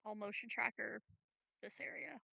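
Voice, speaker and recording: A woman's voice: medium pitch, moderate pace, vocal fry, bright, forceful tone, husky, no room sound, low-quality recording. A woman playing a tabletop role-playing game, chatting casually as she decides her moves.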